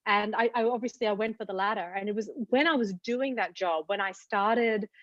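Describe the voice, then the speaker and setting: A woman's voice: medium pitch, brisk, a bit bright, no room sound, dull audio. A woman in her thirties with an Australian accent, answering interview questions reflectively with many fillers and restarts. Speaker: guest.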